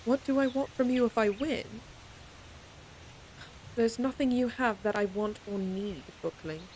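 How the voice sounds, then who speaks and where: Woman playing a proud, high-voiced, exasperated lady knight. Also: unhurried, a little mellow, a little hiss or hum, close to an everyday voice. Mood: guarded, resigned. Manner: stern, scolding, exasperated. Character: proud, exasperated young lady knight, secretly fond, tsundere. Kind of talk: audio roleplay acting, speaking to the listener.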